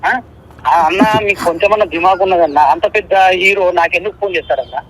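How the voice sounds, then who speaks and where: A man on a phone call, talking casually in an insistent, excitable way. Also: sits high, fast talker. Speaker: stranger receiving a prank call. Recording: phone call with background noise.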